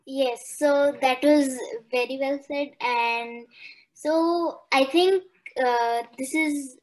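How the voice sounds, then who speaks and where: A woman's voice: high voice, very slow, poor audio. Polite young girl asking questions. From India. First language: Hindi. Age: child. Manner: careful, polite, somewhat rehearsed. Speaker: interviewer. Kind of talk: prepared interview questions.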